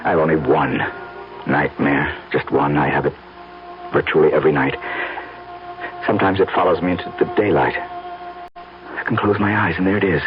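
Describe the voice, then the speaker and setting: Low-voiced, haunted, brooding painter. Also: male voice, steady tone, normal speed, long pauses. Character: tormented brooding male painter, secretive and haunted. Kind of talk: 1970s radio drama acting. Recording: muffled old radio, music behind.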